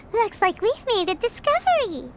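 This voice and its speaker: Squeaky, perky little fairy companion. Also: female voice, noisy background, heavily acted. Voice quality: squeaky, childlike. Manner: refers to herself in third person, exclamatory. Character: small childlike female floating fairy companion, perky and cheeky. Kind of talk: anime-style video game voice acting. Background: game music.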